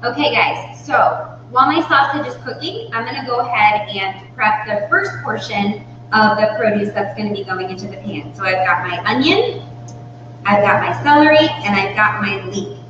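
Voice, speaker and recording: A woman's voice: medium pitch, moderate pace. A woman in her thirties giving an informal, step-by-step cooking demonstration as the host of a live class. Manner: informal, instructional, explains step by step. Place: indoor demonstration kitchen. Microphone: far from the speaker. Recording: distant mic, very echoey room, muffled, hum.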